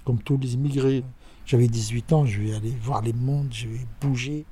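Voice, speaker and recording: A man in his sixties with a Tunisian accent, telling stories about his childhood in a halting, animated way. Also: breathy edge. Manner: animated, halting, with sudden pitch jumps. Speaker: interviewee. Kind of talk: personal storytelling.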